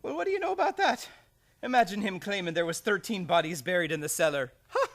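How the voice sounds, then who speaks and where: A man acting an excitable, skittish policeman with a blustery manner in a radio play comedy. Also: high voice, quick pace, full character voice. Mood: relieved, incredulous. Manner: blustery, exclamatory.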